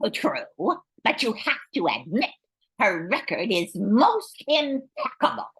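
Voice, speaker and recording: A woman's voice: on the high side, very animated, slow, a bit bright, husky, exaggerated voice. A woman playing a self-important, supremely confident Munchkin announcer in an amateur radio comedy. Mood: grandly boastful. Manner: pompous, showy.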